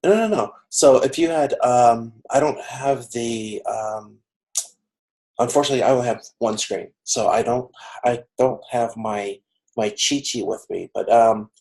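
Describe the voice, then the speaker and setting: A man with a creaky voice teaching an online class informally, explaining step by step in a halting way on a video call. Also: mid-range voice, a little mellow, poor audio. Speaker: instructor of an online college class. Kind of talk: informal lecture working through a cost example. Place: video conference.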